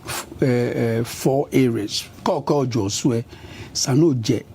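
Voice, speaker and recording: A man's voice: mid-range voice, slow pace, a little hiss or hum. A middle-aged Ghanaian man with a Ghanaian accent, giving an interview and switching between English and Ga. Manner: switches between English and Ga, lists places at an even pace. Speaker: interview guest, politician. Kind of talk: conversational interview.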